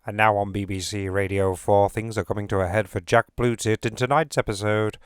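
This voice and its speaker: A man's voice: on the low side, fairly even intonation, fairly quick, smooth, no room sound, studio quality, close to an everyday voice. A man playing a composed continuity announcer introducing radio programmes.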